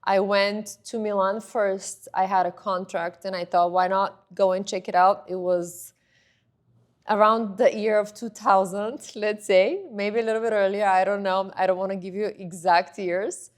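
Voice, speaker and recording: A woman's voice: medium pitch, level tone, measured pace. A woman, a guest in an interview, telling her story in a chatty, rambling way. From Bulgaria.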